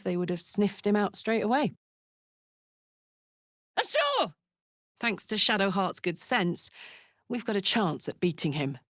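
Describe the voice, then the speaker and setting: A woman's voice: fairly low pitch, no echo, clean studio sound. An actress playing a brash, big-hearted tiefling warrior woman in a video game, with a blunt, informal manner that turns from playful to tender. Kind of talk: video game character voice lines.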